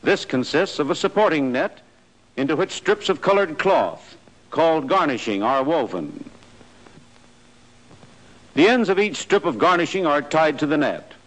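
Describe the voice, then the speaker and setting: Male narrator, slightly higher voice, measured instructional read, 1940s film soundtrack. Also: lively intonation, poor audio. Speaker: narrator of army training film. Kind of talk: scripted documentary narration.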